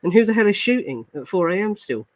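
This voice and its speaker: A man's voice: high-pitched, big pitch swings, fast, no echo. Chatty man thinking aloud on a podcast. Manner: chatty, thinking aloud with many fillers and restarts; reads police report passages aloud. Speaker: true crime podcast host. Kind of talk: casual chat reacting to live comments.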